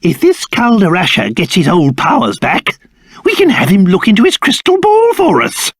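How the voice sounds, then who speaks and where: A man's voice: sits high, wildly swooping pitch, normal speed, dry recording, studio quality, heavily acted. A man voicing a fussy, haughty old cursed king, a creature and doting father in a video game, with a pompous, theatrical, exclamatory manner. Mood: exasperated. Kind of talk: video game character voice acting.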